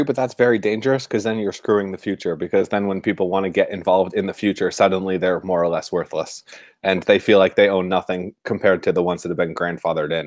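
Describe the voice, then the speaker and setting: A man's voice: low voice, no room sound, clean studio sound. A man hosting an interview in a casual, conversational style. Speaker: podcast host, interviewer.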